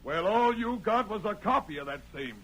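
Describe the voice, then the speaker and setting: A man's voice: high-pitched, animated, normal speed, a bit bright. An actor playing a bold undercover lawman in an old radio western, speaking insistently in folksy Old West speech. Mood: accusing. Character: bold, forceful undercover lawman posing as accused man. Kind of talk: 1940s radio western acting. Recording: noisy old-time radio recording.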